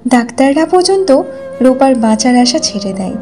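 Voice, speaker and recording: A woman's voice: high-pitched, big pitch swings, normal speed, warm tone, faint music behind. A woman narrating a scripted children's folk tale as a storyteller.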